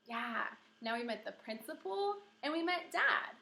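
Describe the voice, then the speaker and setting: Bright-voiced woman teacher in an echoey room. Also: sits high, measured pace, creaky. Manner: expressive, questioning, encouraging. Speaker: kindergarten teacher. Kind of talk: read-aloud storytelling with teaching asides.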